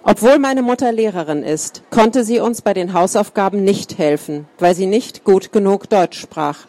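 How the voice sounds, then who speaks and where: A woman reading a first-person account aloud in a calm, measured way. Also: medium pitch. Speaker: reader.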